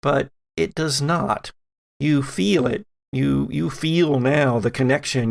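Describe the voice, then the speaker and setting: A man narrating a tabletop horror game as game master, deliberate and suspenseful, with frequent dramatic pauses. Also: mid-range voice, creaky.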